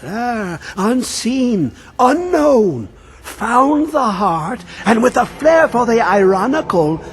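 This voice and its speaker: A man voicing a mad, obsessed old male scholar who talks in riddles and swings between high and low. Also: exaggerated voice. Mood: conspiratorial. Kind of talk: video game voice acting. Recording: game audio with faint music.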